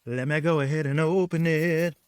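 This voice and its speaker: A man's voice: on the high side, fairly even intonation, normal speed, clean tone, no room sound. Guy cohosting a podcast, joking around, rambles, dry close sound. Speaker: podcast co-host. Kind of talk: casual podcast chat.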